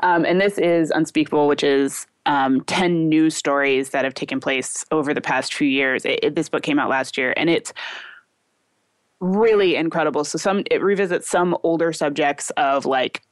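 A woman's voice: sits low, normal speed, dry recording. A young woman recommending books in a casual chat, enthusiastic and chatty with lots of "like" and "um". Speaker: book recommender.

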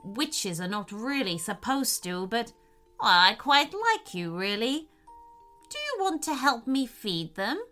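A woman's voice: on the high side, very animated, slow, sharp tone, soft background music, heavily acted. A woman voicing a kindly, slightly flustered old witch in a children's story, chatty and exclamatory. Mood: friendly, chatty. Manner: exclamatory, fussy, repeats herself.

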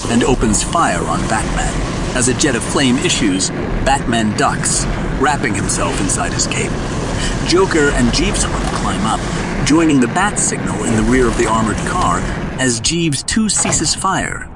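A man's voice: mid-range voice, sing-song, unhurried, very noisy. A man narrating a scripted audio drama in a dramatic, descriptive storytelling manner. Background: music.

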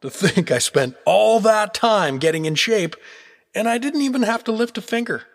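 An actor playing a clueless but cocky, bluffing underdog hero in a comedic audio drama. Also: male voice, sits high, expressive, moderate pace, dry recording. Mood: incredulous.

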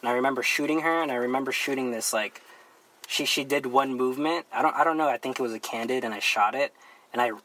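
A man's voice: medium pitch, fast, sharp tone, slight background noise, low-quality recording. Young guy from the philippines, guest on a podcast, easygoing and rambly, says like a lot. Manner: laid-back, rambling, heavy on fillers. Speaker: guest photographer. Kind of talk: casual podcast chat.

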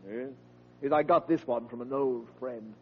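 An actor playing a pompous, sponging old veteran uncle, speaking in a grandiloquent, unruffled radio sitcom manner. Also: male voice, medium pitch, normal speed, husky, lightly acted. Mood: smooth, unruffled. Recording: muffled, hissy vintage radio recording.

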